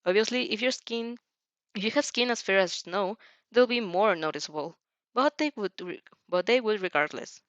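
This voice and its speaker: A woman's voice: mid-range voice, normal speed, a bit bright, dry recording. A woman reads fan fiction expressively and drifts into chatty asides. Her voice is recorded close and dry, with a slightly muffled lo-fi sound. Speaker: fan fiction reader and reactor. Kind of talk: expressive story reading with casual asides.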